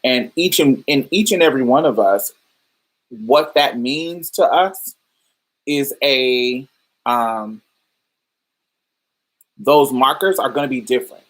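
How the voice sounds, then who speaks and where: A woman's voice: a bass voice, expressive, slow, pauses often, poor audio. Woman podcast host, thinking aloud. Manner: loose and thoughtful, with many fillers and restarts. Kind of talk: casual livestream podcast talk.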